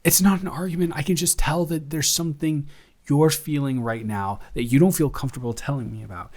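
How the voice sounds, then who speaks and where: A man's voice: on the high side, a little mellow. Man acting teasing, affectionate, flustered roommate. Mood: earnest, exasperated. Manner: halting, conversational, self-correcting. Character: teasing, affectionate, flustered young male roommate cuddling a friend. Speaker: audio roleplay performer. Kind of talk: improvised intimate boyfriend-style audio roleplay.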